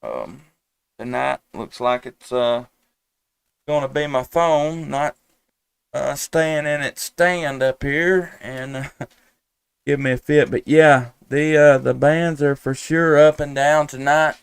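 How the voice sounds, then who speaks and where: Male amateur radio streamer. Very crisp, resonant voice, dry recording, folksy and unhurried in his radio chat. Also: mid-range voice, dry recording. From United States, Tennessee. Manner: folksy, easygoing, with radio call-sign phrasing. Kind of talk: casual ham radio chat on a live stream.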